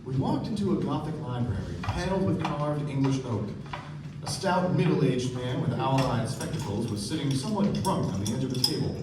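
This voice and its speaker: An actor playing a wry, observant young narrator, telling the story in measured radio play style. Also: male voice, medium pitch, big pitch swings, lightly acted. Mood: wry, storytelling. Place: theatre stage before an audience. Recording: echoey, noisy stage recording from far off.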